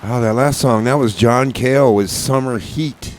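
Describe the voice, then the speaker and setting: A man's voice: medium pitch, normal speed, a little hiss or hum. A man hosting a community radio music show, talking casually in a rambling, hesitant way with many fillers and restarts. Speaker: radio DJ host.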